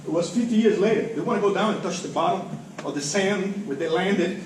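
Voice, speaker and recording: Cuban guy, middle aged, highish voice, emphatic speech way off from the mic. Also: fairly quick. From Cuba. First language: Spanish. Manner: emotional, emphatic, non-native phrasing. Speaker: public commenter at a school board meeting. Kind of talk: impassioned informal public speech. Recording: very echoey room, distant mic, faint noise.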